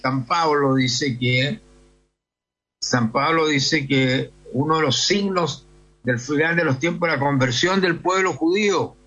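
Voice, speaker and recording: An older Chilean man with a husky, rough-edged voice speaks as a priest being interviewed. He talks discursively, checking for agreement as he goes. The recording is a little dull and lo-fi. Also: fairly high pitch, unhurried, bright, forceful tone. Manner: discursive, often seeks agreement with tag questions. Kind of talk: conversational interview answers.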